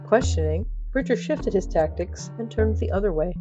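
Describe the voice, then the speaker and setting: Lady reading a quirky story radio style, medium pitch, music playing under her. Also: expressive, moderate pace, highs cut off. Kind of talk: dramatic comic story narration. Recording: background music under narration.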